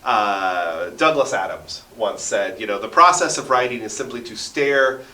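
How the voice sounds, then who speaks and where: A man gives a casual, lively interview with big swings in pitch. His voice is bright and forward, and he explains things eagerly, tagging points with "right?". The recording is roomy, with the microphone at a distance. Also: measured pace. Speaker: interview guest, radio drama writer and sound effects performer. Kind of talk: casual animated interview talk. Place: radio station studio. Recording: roomy studio echo, distant mic.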